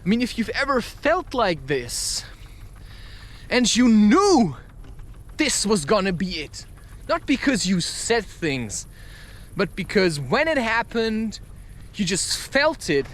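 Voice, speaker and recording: A man giving a rambling, confessional monologue in a halting, emphatic way, recorded outdoors while walking. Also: high voice, very animated, fairly bright tone. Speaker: vlogger recording a spoken-word podcast.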